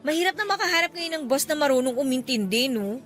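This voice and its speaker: A woman's voice: sits high, lively intonation, fast, a bit bright. A woman playing a trusting, affectionate girlfriend in a radio drama, with a conversational, questioning way of talking. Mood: light, teasing. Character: trusting, affectionate young girlfriend, easily hurt. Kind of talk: Tagalog radio drama acting.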